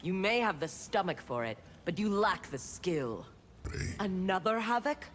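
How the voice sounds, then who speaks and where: An actress playing a haughty armored fighter in a video game, with a dry, scornful delivery. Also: female voice, mid-range voice, sing-song, measured pace, a little hiss or hum.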